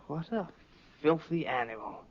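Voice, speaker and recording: A man's voice: a little high, animated, unhurried, a little hiss or hum. A man playing a puzzled, grumbling companion to an investigator in a 1950s radio drama. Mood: disgusted, puzzled. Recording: muffled vintage radio recording.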